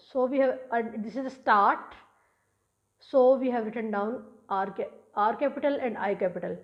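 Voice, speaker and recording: A woman with a Pakistani accent teaching English grammar in a recorded lesson, explaining step by step and restating points with small restarts. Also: on the high side. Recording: dull lo-fi sound.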